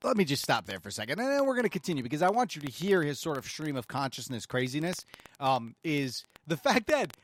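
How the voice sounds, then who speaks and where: A middle-aged man hosting a show, giving halting, sarcastic, exasperated commentary on played clips. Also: a little high, big pitch swings, a little hiss or hum. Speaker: podcast host, commentator.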